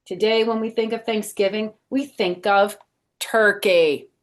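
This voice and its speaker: Woman playing a bossy schoolgirl, pitched up. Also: steady tone, unhurried, lightly acted. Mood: declamatory, proud. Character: bossy, demanding schoolgirl reciting in a class play.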